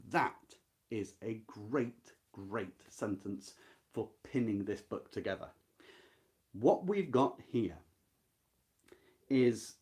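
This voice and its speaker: A man explaining a novel to viewers as a readalong host, speaking emphatically and deliberately and repeating his key points. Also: lowish voice, darkish tone. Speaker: host of a book readalong, literary commentator.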